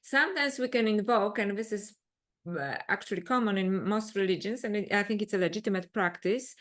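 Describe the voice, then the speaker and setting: A woman from Poland, the guest in a conversational interview, talking in an animated way full of restarts and "you know". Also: medium pitch, a little fast. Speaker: guest author.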